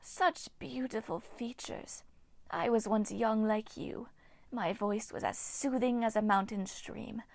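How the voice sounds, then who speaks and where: Woman voicing a menacing ancient nymph, whispered, slow, hissing, storybook reading. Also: fairly high pitch, steady tone, gravelly, a little mellow, no room sound. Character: bitter ancient female water nymph, hungry and menacing.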